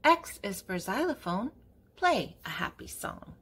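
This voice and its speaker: Older female host for toddler storytime, slightly rough-edged voice, unhurried delivery, simple phrasing. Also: mid-range voice, huge pitch swings, fairly bright tone. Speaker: children's book reader and channel host. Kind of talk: read-aloud of a children's picture book.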